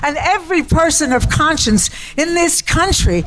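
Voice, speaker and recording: A woman's voice: high-pitched, animated, moderate pace. A woman making an urgent protest speech as a speaker at a live rally. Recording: noisy live rally recording with clicks.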